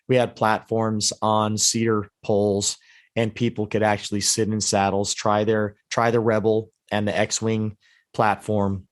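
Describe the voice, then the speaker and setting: Hoarse low-voiced man recapping. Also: no room sound, studio quality. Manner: long rambling recaps with many restarts. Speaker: podcast co-host. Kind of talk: casual podcast chat.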